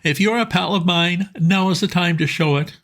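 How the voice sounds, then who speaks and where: A man voicing an excitable, lovestruck young gentleman who pleads and gushes in a raised voice. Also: high-pitched, moderate pace, voice pushed up. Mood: eager. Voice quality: eager, pleading, gushing.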